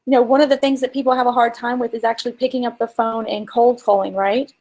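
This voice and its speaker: A woman's voice: fairly high pitch, little pitch movement, fast, darkish tone. A woman co-hosting a casual business coaching chat, talking informally and emphatically and explaining with examples. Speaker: co-host and marketing coach.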